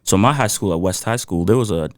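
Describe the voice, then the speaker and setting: A man's voice: sits low, quick pace, dry recording, clean studio sound. A man from Ghana, a musician guest on a podcast, telling stories in an easygoing way with frequent laughs and "you know.". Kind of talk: casual interview storytelling.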